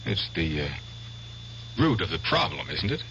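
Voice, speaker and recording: A patient, probing doctor in an old radio drama. The voice is very low yet bright and firm, with deliberate, grave phrasing. The recording is dull, with a faint hum. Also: male voice, sing-song, heavily acted. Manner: deliberate, hesitant pauses, soothing reasoning. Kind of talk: 1970s radio drama acting.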